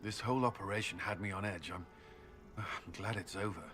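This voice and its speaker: An actor playing an earnest, protective suitor in a romantic fantasy video game, speaking gently and hesitantly. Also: male voice, lowish voice, fairly quick, lightly acted. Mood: shy, tender. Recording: music clearly behind the voice.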